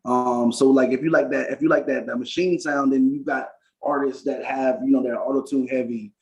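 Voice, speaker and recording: A man's voice: mid-range voice, fairly even intonation, brisk, darkish tone, faint music behind. A man from Florida hosting a podcast interview, rambling through his questions with lots of restarts and frequent "facts" in agreement. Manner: rambling, many restarts, says "facts" a lot. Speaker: podcast host. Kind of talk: casual interview talk. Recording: dull lo-fi sound.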